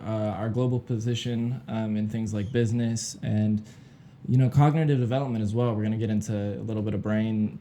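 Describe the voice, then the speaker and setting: A man's voice: medium pitch, warm tone, a little hiss or hum. A man hosting a podcast in a loose, casual way with lots of fillers. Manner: loose, filler-heavy talk. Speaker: podcast host.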